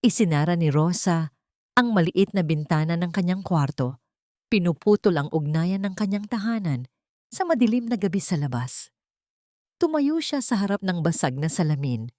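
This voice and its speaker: A woman's voice: lowish voice, expressive, moderate pace, darkish tone, no room sound, clean studio sound. A woman reading a dramatic scripted story as its narrator.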